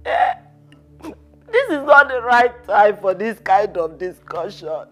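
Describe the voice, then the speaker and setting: A woman's voice: mid-range voice, lively intonation, takes it slowly, a bit bright, faint music behind. An actress playing a grieving older mother-in-law, sobbing and crying out in mourning. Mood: wailing grief. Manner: wailing, sobbing laments.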